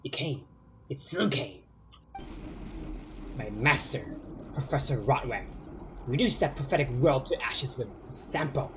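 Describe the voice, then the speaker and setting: A man voicing a grandiose, vengeful spirit that guards a ruined bunker, reading its lines in a slow, theatrical, declamatory way. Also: medium pitch, animated, background noise, close to an everyday voice.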